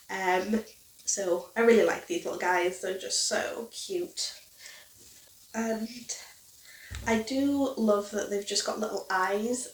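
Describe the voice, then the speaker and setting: A woman's voice: mid-range voice, distant mic. A young woman in her twenties with a nasal, blocked-nose voice, chatting casually to camera with lots of ums. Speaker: crochet vlogger. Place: living room, indoors. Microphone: camera mic from a few feet away. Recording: roomy, from off mic.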